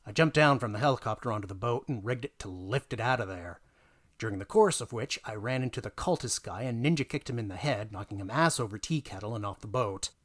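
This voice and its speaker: A man narrating a dramatic reading of a tabletop gaming story, theatrical and emphatic, pausing for effect. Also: fairly low pitch, lively intonation.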